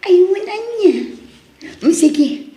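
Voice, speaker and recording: An actress with an Ilocano Filipino accent playing a hesitant young wife tempted by easy money in a radio drama. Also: female voice, very high voice, lively intonation, takes it slowly, dark tone, full character voice. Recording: roomy, echoing studio drama sound.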